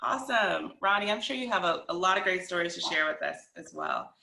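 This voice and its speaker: A woman hosts a panel conversationally as moderator. Her voice is bright and gravelly with fry, and it sounds roomy and echoey. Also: fairly low pitch, wildly swooping pitch, brisk, a little hiss or hum. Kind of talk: conversational hosting of a virtual panel. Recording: very echoey room.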